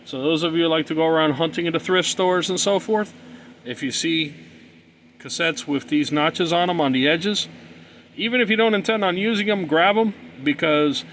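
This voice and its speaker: Male tutorial presenter, voice a bit higher than average, down-to-earth and explanatory, light noise in the recording. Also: moderate pace, a bit bright. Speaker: hobbyist presenter explaining cassette tapes. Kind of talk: informal hands-on tutorial.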